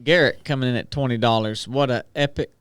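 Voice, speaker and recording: Smooth-voiced man reading donor messages. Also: medium pitch, fairly quick, no room sound. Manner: reads out super chat names and amounts in a steady list. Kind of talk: casual podcast chat.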